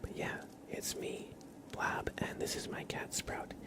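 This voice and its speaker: A man hosting an ASMR livestream in a soft, hushed whisper and low murmur, gently and unhurriedly talking to his viewers. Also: unhurried. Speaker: livestream host.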